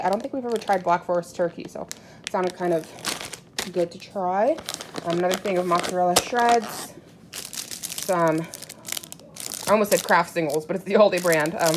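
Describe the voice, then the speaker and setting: Low-pitched American woman vlogging over noise. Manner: rambling, conversational, candid. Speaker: home vlogger. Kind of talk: casual chat showing groceries to camera. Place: indoors in a home kitchen. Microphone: handheld phone at arm's length. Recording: clearly noisy home recording, crinkling packaging.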